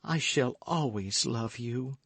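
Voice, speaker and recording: A man's voice: medium pitch, takes it slowly, a bit bright, no echo, voice pushed up. A man voicing a frightened, lovestruck young maidservant in an audiobook, with a pleading, devoted manner. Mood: devoted, wistful. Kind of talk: audiobook character acting.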